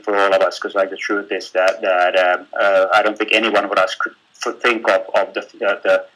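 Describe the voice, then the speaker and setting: A man from Finland with a Finnish accent, giving a live webinar in a halting way, with many fillers and repeated words. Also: lowish voice, pushed and bright, rough recording. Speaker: webinar speaker.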